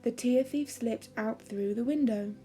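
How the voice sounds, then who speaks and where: A woman storyteller giving a calming, expressive, measured reading of a children's fairy story. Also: on the high side, faint music behind.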